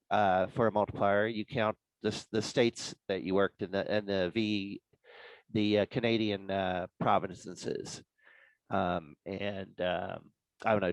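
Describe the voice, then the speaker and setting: A man giving an informal, explanatory presentation as a guest speaker on an online call, in a halting way. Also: fairly low pitch, no echo, rough recording. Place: online club meeting.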